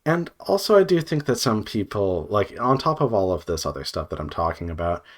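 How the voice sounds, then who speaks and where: Low-pitched man rambling casually. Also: very animated. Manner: rambling, scattered, thinking aloud; hesitant, frequent filler "like" and trailing pauses. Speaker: video creator, indie visual novel developer. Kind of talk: unscripted casual vlog monologue.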